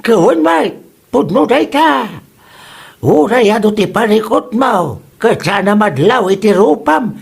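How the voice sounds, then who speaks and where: A man playing a chatty, flattering older neighbor with a husky voice in a comedic audio drama. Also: high-pitched, a little slow, full character voice. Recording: soft music underneath.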